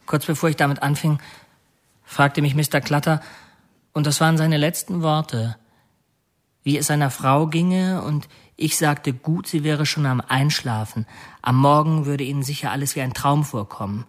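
An actor playing a brooding male killer who confesses matter-of-factly and haltingly, as in a radio drama. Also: fairly high pitch, fairly even intonation, close to an everyday voice. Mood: grim, confessing. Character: confessing male killer, bitter, brooding, oddly soft-hearted. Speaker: actor narrating as a murderer.

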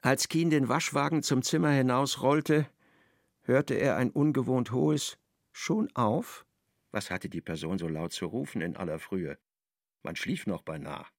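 A man narrating a literary radio play in a measured, vivid storytelling manner. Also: mid-range voice, lively intonation, gravelly, no echo, clean studio sound.

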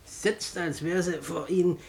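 An actor playing a plain-spoken tailor's assistant in a 1950s German radio drama, colloquial and a bit grumbling. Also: male voice, on the high side, steady tone, unhurried, gravelly, a little mellow, slight background noise.

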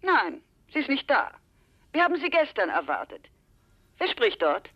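An actress playing a brisk, reproachful private nurse through a telephone filter in a radio play. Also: female voice, a little high, huge pitch swings, breathy edge, no room sound, heavily acted. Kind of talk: radio play phone dialogue. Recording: muffled, thin telephone line.

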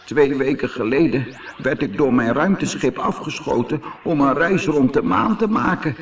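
A man's voice: medium pitch, normal speed, recorded from a distance, pitched above the performer's own voice, lightly acted. A man voicing a battered, lost space rocket with a plaintive manner, in a children's storybook. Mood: plaintive, pained. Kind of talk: children's audiobook character voice.

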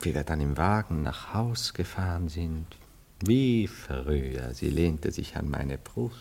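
An actor playing an evasive, sentimental young womanizer about to marry, in an animated German radio play. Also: a bass voice, creaky, full character voice. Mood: wistful. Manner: animated storytelling, quick swings into murmurs and quotes. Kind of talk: German radio play acting, theatrical dialogue.

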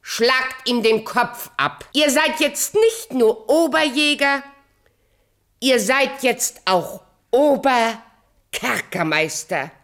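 A vain, murderous fairy-tale queen in a radio drama. Her voice is high and swings widely in pitch. She speaks slowly and theatrically, issuing commands with a volatile, imperious temper. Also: animated, a bit bright, full character voice. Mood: imperious, angry. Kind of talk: radio play acting.

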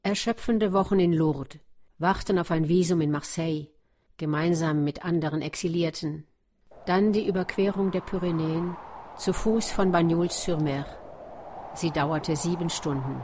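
A woman's voice: on the low side, normal speed, dark tone, slight background noise. A woman reading scripted narration for a radio documentary.

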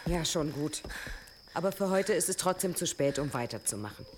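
Brisk, lowish-voiced medieval metalworker. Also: female voice, lively intonation, slight background noise. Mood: dry, practical. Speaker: actor in an audio drama. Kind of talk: German radio drama acting.

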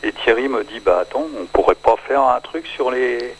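An older man, a singer-songwriter, talking in a relaxed radio interview, hesitant and full of fillers. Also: mid-range voice, slight background noise, low-quality recording. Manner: hesitant, many pauses and fillers.

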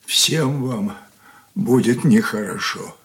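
An older actor playing an ailing, confused old merchant father in a Soviet radio play, speaking in halting, broken phrases. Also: mid-range voice, close to an everyday voice. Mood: weary, brooding.

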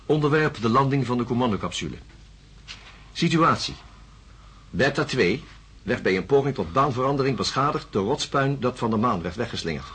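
An actor playing a measured, coaxing doctor in a radio drama, speaking in a deliberate, controlled way. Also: male voice, medium pitch, lively intonation, fairly bright tone, husky. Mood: businesslike. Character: measured, coaxing male doctor conducting hypnosis. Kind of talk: radio drama narration and dialogue.

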